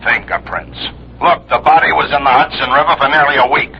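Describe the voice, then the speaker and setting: An actor playing an exasperated, blustering police lieutenant in old radio drama style, irritable and sarcastic. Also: male voice, a little high, fairly even intonation, fairly quick, vocal fry. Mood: irritated, sarcastic. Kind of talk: 1940s-50s radio detective drama acting. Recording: dull, slightly noisy old radio recording.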